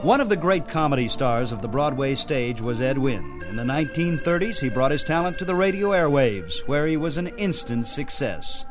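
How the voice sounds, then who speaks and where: A man presenting a programme of old radio highlights in a scripted narrator's style. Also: very animated, moderate pace, hardly any pauses. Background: music.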